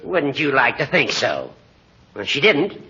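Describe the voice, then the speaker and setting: An actor playing a huffy, formal older judge, stiff, wordy and indignant, in 1940s radio comedy style, with a husky, reedy voice. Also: male voice, mid-range voice, wildly swooping pitch, unhurried, pushed and bright, exaggerated voice. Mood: accusing. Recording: muffled 1940s radio recording.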